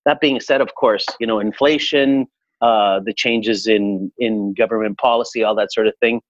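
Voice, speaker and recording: A man in his forties from Chile, a restaurateur answering interview questions in a relaxed, reflective way with frequent fillers. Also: lowish voice, normal speed, no echo, highs cut off. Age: 40s. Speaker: restaurateur guest.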